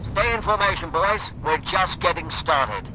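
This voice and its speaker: A man's voice: animated, a little slow. An actor playing a confident male squadron leader over the radio in a video game. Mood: cocky. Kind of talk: video game combat acting. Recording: faintly noisy, rumbling cockpit radio.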